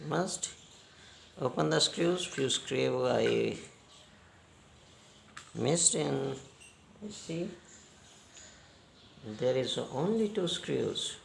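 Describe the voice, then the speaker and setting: Slow, gravelly man giving halting instructions. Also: mid-range voice, expressive, a little hiss or hum. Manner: halting, step-by-step instructions in short phrases. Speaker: repair tutorial host. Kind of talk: unscripted hands-on repair demonstration.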